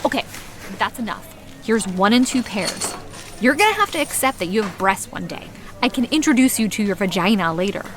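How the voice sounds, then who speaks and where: A woman's voice: medium pitch, big pitch swings, fairly quick, close to an everyday voice. An actress playing a sassy, protective best friend who mothers and coaxes in an audio drama. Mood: teasing. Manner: sassy, coaxing.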